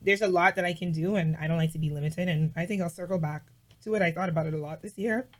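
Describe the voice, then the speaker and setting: A woman vlogger chatting casually as an interview guest, emphatic and animated, with lots of "like". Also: on the low side, fast talker. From Bahamas.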